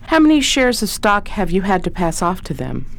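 An actress playing a shrewd, cool 1920s adventuress who talks tough and wry in a radio crime drama. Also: female voice, mid-range voice, sing-song, normal speed, slight background noise. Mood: wry, determined. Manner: wry, slangy, tough talk.

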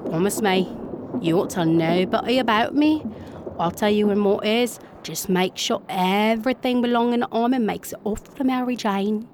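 A woman playing a tough, defiant young girl disguised as a cabin boy in a radio drama, talking like a rough sailor. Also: mid-range voice, normal speed, a little mellow, slight background noise, natural voice. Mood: bargaining. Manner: rough uneducated sailor talk.

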